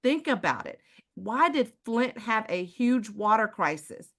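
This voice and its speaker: A woman with a Texas accent giving a live online sales presentation, using many rhetorical questions and calling out to her listeners as ladies and gentlemen. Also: fairly high pitch, very animated, unhurried. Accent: Texan. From Houston, Texas. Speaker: presenter selling water filters.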